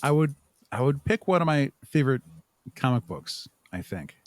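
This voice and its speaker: A man's voice: mid-range voice, very animated, moderate pace, no echo, studio quality. Casual man chatting as a podcast guest. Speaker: podcast guest, audio drama creator and podcaster. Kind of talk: casual interview chat.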